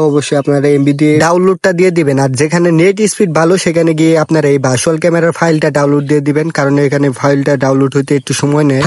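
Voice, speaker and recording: Male tutorial host, Bangladeshi accent, slightly high voice, very fast repetitive step-by-step delivery. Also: darkish tone, no room sound, studio quality. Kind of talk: informal phone app tutorial narration.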